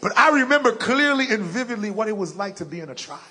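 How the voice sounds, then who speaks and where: A young adult man with a deep voice, preaching a sermon and telling a personal story in an animated way, acting out the lines he quotes. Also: quick pace.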